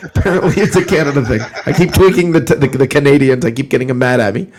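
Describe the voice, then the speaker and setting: A man's voice: medium pitch, fairly even intonation, quick pace, noisy background. A man hosting a casual football talk show, speaking in an opinionated way and driving his points home with repetition. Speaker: sports talk show host.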